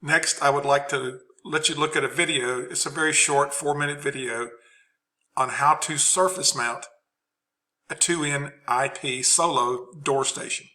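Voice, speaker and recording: A man's voice: fairly high pitch, fairly even intonation, unhurried, bright, forceful tone. A man giving an unscripted instructional presentation as a trainer, explaining things plainly.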